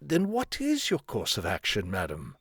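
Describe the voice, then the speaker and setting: A man's voice: fairly low pitch, huge pitch swings, normal speed, fairly bright tone, heavily acted. An actor playing a dutiful, cautious butler in a radio drama, formal and deferential in his speech. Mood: concerned, deferential.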